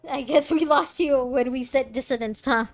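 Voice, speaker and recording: A woman's voice: high-pitched, normal speed. A woman gaming streamer giving casual playthrough commentary, chatting playfully with the game's characters. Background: game music.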